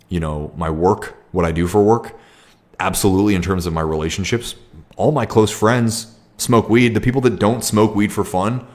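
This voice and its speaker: A young man with a deep, low voice hosts a casual livestream, reading viewer comments and answering them. He talks in a reflective, halting way, pausing on fillers and restarting his sentences. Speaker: livestream host.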